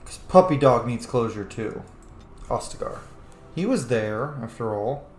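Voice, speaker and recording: Casting: male let's play streamer, mid-pitched, informal, in an echoey room. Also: lively intonation, measured pace, faint music behind. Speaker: gaming streamer, player. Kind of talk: casual let's play commentary. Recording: roomy echo, faint game noise.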